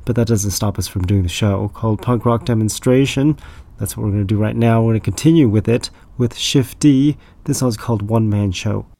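A man hosting a radio show, hoarse and raspy from being sick, talking in a loose, rambling, self-deprecating way. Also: lowish voice, fairly quick, mellow, a little hiss or hum. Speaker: radio DJ host. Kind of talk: casual radio show chat.

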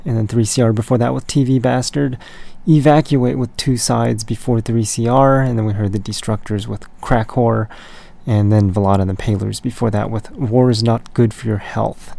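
A man hosting a radio show, casually back-announcing songs with a raspy, hoarse voice from being sick. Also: medium pitch, steady tone, normal speed, hardly any pauses, mellow. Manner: laid-back, chatty, rattles off lists.

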